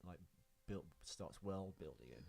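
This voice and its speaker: A man's voice: low-pitched, animated, unhurried, vocal fry, a little mellow. A man co-hosting a podcast, chatting casually about films in a joking, self-deprecating way. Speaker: podcast co-host. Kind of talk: casual film discussion chat.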